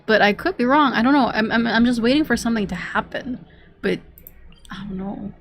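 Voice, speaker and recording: A woman streaming a game with casual, halting commentary, sometimes reading lines aloud. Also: on the high side, soft background music.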